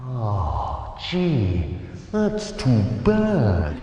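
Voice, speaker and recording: An actor playing a smug, cynical, wisecracking host of a brutal futuristic game show in a video game. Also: male voice, medium pitch, huge pitch swings, extremely slow, dark tone, dull audio, slight background noise, heavily acted. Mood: smug, sarcastic. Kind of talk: over-the-top TV game show presenting.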